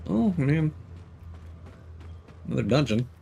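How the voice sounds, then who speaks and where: A man's voice: mid-range voice, sing-song, slow pace, creaky, warm tone, slight background noise. A man streaming a game, giving loose, rambling commentary as he thinks aloud and teases the characters on screen. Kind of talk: casual let's-play commentary.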